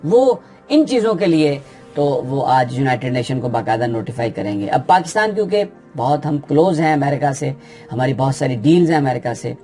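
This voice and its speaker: A man with a Pakistani Urdu accent explains political news in an unscripted, steady way, often pausing with "uh" as he thinks. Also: mid-range voice, soft background music. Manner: steady explanatory delivery with frequent hesitations.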